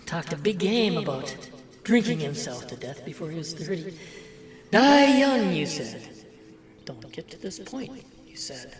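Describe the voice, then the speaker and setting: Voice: medium pitch, sing-song, unhurried. An actor playing the mocking, disgusted inner voice of an old man, sardonic and needling, in an audio drama. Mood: scornful. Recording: roomy echo, music behind.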